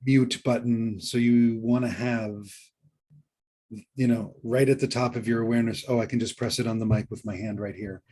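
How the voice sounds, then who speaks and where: A man co-hosting a podcast in a conversational style, somewhat halting and repeating phrases for emphasis. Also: mid-range voice, steady tone, a little mellow. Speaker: podcast co-host and book coach.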